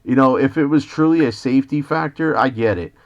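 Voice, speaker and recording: A man's voice: medium pitch, fairly quick. An American man, a citizen journalist on a phone call, arguing in an indignant, lecturing manner as he confronts the police. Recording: dull lo-fi phone call recording.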